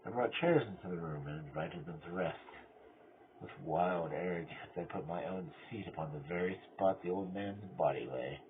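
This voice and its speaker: Man acting a deranged gothic murderer, low voice, cunning, theatrical, unravelling, horror storytelling, noisy thin recording. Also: normal speed, close to an everyday voice. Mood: smug, controlled. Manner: theatrical, swinging from hushed cunning to screaming. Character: mad male murderer confessing, cunning and unravelling into frenzy. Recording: tinny band-limited audio with clear noise.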